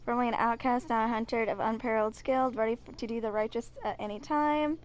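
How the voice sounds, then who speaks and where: Slightly high-voiced young woman gaming host. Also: fairly even intonation, fast. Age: 20s. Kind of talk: casual live commentary, reading game text aloud.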